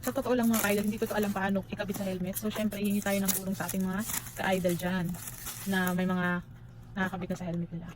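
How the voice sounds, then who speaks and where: A woman's voice: medium pitch, level tone, quick pace, background noise. A Filipino woman vlogger chatting casually to viewers in an unboxing and review style, mixing in English informally. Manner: informal Tagalog-English code-switching.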